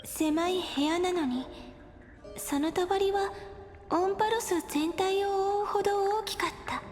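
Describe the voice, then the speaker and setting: An actress voicing a gentle, wistful young woman in an anime game, speaking softly and breathily in measured phrases. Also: extremely high pitch. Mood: wistful, nostalgic. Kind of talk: Japanese anime game voice acting. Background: game music.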